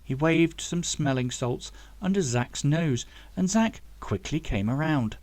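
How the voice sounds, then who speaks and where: A man's voice: on the high side, animated, unhurried, darkish tone, no room sound. A man reading a story aloud as scripted audiobook narration, as the author of the book.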